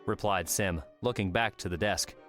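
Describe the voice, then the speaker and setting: Low-voiced man narrating a novel. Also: normal speed. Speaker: audiobook narrator. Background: soft music.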